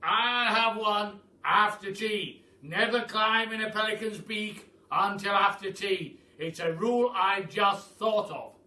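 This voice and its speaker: Blustering, loud old Duke. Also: male voice, sits high, slow pace, hardly any pauses, voice pushed up, heavily acted. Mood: bossy. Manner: pompous, emphatic, hesitating with uhs. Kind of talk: children's storybook read aloud. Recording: echoey room, distant mic.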